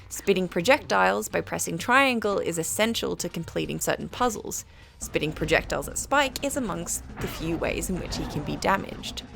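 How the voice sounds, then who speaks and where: British woman narrating, slightly creaky voice. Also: lowish voice. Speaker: video essay narrator. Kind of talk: scripted game review narration.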